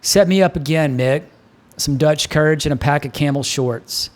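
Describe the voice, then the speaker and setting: A man's voice: fairly high pitch, moderate pace, natural voice. A man voicing a grieving, hard-drinking professor, terse and weary, in an audiobook. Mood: bleak, dry.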